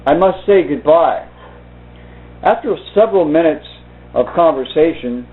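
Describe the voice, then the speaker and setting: Guy w a slightly high voice reading out loud, kinda halting, sounds like a tinny phone. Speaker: video host reading from a book. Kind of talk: slow reading aloud and informal commentary. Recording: muffled phone-like narrow-band sound.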